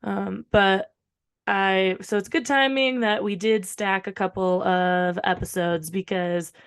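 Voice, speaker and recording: A woman with a slightly hoarse, recovering voice, chatting casually and informally as a podcast co-host, with some swearing. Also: mid-range voice, fairly even intonation, slow pace. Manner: rambling, informal, frequent swearing. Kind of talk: casual chat.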